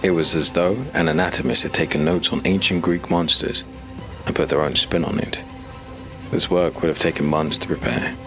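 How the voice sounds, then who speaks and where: A man narrating a scripted dark fantasy story as an audiobook narrator. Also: rumbling low, normal speed, music in the background.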